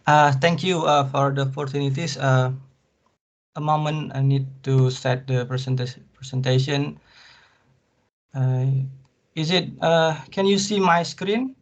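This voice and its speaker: A man's voice: mid-range voice, steady tone, a little slow. A young man with an Indonesian accent, a master's student giving an academic presentation carefully with frequent fillers. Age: young adult. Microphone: video call. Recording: muffled video call audio.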